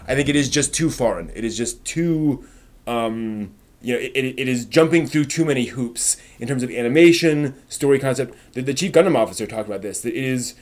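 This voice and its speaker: A man hosting a casual live stream, chatting and thinking aloud in a halting way, often trailing off as he answers viewers. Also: medium pitch.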